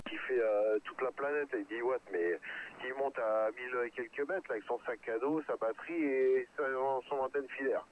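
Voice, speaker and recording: A man's voice: medium pitch, moderate pace. A man chatting over a two-way radio in a blunt, colloquial way. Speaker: radio amateur. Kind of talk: casual radio chat. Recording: tinny, noisy radio link.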